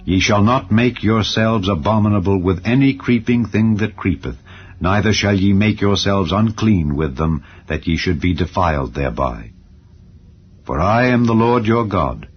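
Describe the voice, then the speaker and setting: Deep-voiced man solemnly reading scripture. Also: expressive, slight background noise. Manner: solemn, measured recitation. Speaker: Bible reader. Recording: dull audio under soft music. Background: faint background music.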